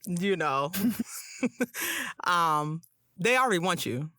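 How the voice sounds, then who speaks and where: A woman hosting a podcast interview in a casual chat, with a breathy, calming voice and a slight lisp. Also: lowish voice, slow, no room sound, studio quality. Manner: calm, soothing delivery. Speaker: podcast host and audiobook narrator.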